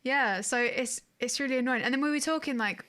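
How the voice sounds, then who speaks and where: A woman's voice: high-pitched, a little fast, fairly bright tone. A woman co-hosting a podcast, chatting casually. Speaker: podcast co-host. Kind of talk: casual chat about films and shows.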